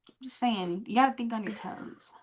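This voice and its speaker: A woman's voice: fairly high pitch, fairly quick. A young woman with a girly voice, co-hosting a podcast in casual, joking chat. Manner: joking, laughing, playful asides. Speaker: podcast co-host. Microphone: desk mic with auto-follow camera.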